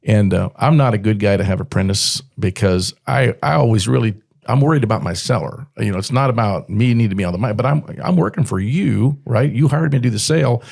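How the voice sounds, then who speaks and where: A man's voice: fairly low pitch, fast, creaky, darkish tone. A man. He sounds folksy and plainspoken, sharing anecdotes in casual podcast conversation. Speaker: podcast guest, auctioneer.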